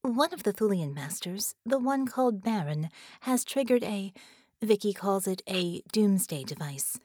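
A woman's voice: mid-range voice, lively intonation, normal speed, a little mellow, dry recording, lightly acted. Woman as artificial intelligence, serene, precise, polite, formal, dry close sound, audiobook character.

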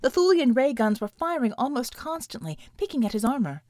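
A woman with a fairly high voice narrates dramatic fiction. It is a scripted audiobook read, recorded dry with little room sound. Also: very animated, brisk, darkish tone, no echo.

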